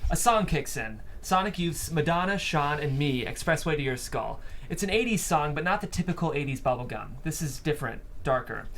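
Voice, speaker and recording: A man's voice: on the high side, lively intonation, fairly quick, fairly bright tone, slight background noise. A man narrating screenplay stage directions aloud in a studio as the host of a table read.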